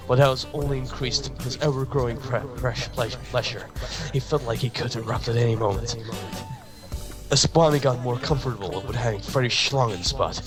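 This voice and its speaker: A man giving a dramatic reading of fan fiction, sometimes stumbling and repeating words. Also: mid-range voice. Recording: music behind the voice. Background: music.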